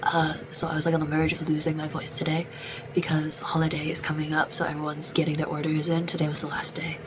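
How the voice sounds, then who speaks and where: A woman's voice: sits low, completely flat delivery, fast talker. Congested young woman venting, noisy background. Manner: chatty, venting, many "like" fillers. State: congested, losing her voice. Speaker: vlogger. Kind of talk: casual vlog chat.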